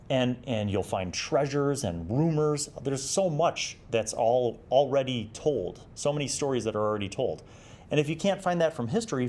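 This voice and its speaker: A man's voice: mid-range voice, darkish tone. A man in his forties hosting a review show, speaking in a conversational, explanatory and encouraging way as he gives commentary and reads excerpts. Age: 40s. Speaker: host of a tabletop roleplaying review show. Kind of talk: commentary on magazine articles, reading excerpts and adding advice.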